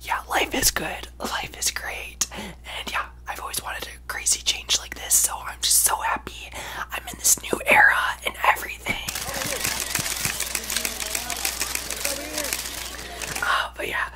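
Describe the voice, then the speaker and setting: A young man voicing a brash, self-absorbed young female pop star in a breathy, gushing ASMR whisper close to the microphone. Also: normal speed, pushed and bright, poor audio. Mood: boastful, breezy. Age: young adult. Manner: gushing, exaggerated, boastful, rambling. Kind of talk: ASMR roleplay, celebrity parody.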